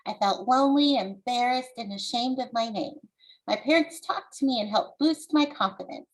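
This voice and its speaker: A woman with a fairly high voice presents as a children's author. She moves between reading aloud and explaining, speaking deliberately and with an encouraging, teacherly manner to a young audience. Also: poor audio. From United States.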